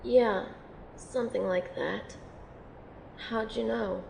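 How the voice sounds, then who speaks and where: A woman's voice: on the high side, fairly even intonation, takes it slowly, gravelly, darkish tone, echoey room, a little hiss or hum, full character voice, poor audio. A woman playing a wounded young soldier and healer in an audio drama, speaking in a casual, wry way. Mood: guarded. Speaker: voice actor in an amateur audio drama. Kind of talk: acted dialogue in an audio drama.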